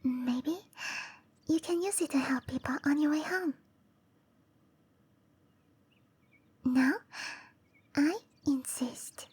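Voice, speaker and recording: Lady doing a sweet shy dryad girl for asmr roleplay, whispery n high, talks real slow and gentle. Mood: kind, insistent. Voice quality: soft, breathy, often whispering. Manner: slow, tender, hesitant delivery. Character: gentle, shy cherry blossom dryad woman.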